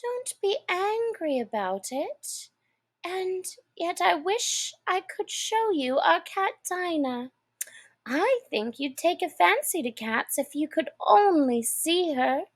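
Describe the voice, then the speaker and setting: A polite, chatty little girl from a storybook, voiced by a woman. The voice sits very high with a lilting, animated melody. She is eager and apologetic, rambling on in an unhurried way. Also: exaggerated voice. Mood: soothing, dreamy. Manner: apologetic, soothing, dreamy rambling. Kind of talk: storybook character voice.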